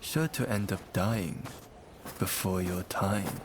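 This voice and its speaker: A man's voice: sits low, animated, slow, gravelly, background noise, heavily acted. A man acting a mocking, menacing thug from feudal Japan in a video game cutscene, with a sneering, sardonic delivery. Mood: scornful.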